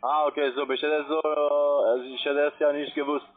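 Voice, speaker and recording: A non-native man with a foreign accent, working as a call centre agent, giving halting instructions. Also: on the high side, flat delivery. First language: not German. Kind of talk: phone support talk. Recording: tinny phone line.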